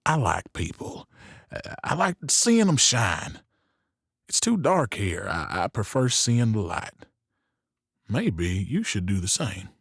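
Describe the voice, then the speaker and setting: A man plays a protective, shrewd saloon boss in an audio drama. He speaks in a measured, knowing way with a very mobile pitch, close and dry. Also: very animated, vocal fry, a bit bright, slightly rough, dry recording, exaggerated voice. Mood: subdued. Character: shrewd, protective Old West saloon owner.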